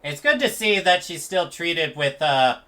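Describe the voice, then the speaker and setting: A man's voice: fairly high pitch, expressive, a little slow, bright, forceful tone, a little hiss or hum. A man giving casual live commentary on a video game and chatting with viewers. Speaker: streamer reacting to a video game. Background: game music.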